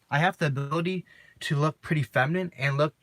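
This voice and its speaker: A deep-voiced man talking casually to the camera in a personal vlog, rambling and filling his talk with constant "you know"s and repeated phrases. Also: normal speed, a bit bright, no echo. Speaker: vlogger.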